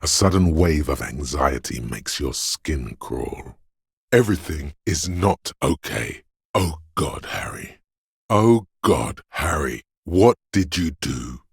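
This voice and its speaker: A male actor voicing a menacing inner voice of primal fear and rage in a video game, narrating in a gravelly, husky, ominous, deliberate way. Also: rumbling low, no echo, clean studio sound, full character voice. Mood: anxious dread. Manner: ominous, slow, deliberate delivery. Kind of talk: dramatic second-person game narration.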